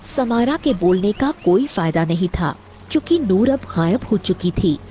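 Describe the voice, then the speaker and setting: A woman's voice: medium pitch, expressive, moderate pace, mellow, slight background noise. A woman narrating a scripted cartoon story as its storyteller.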